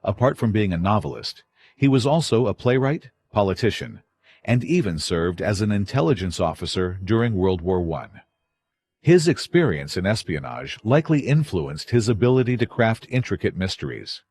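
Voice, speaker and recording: Husky, lively-pitched male announcer. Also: moderate pace. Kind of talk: scripted narration.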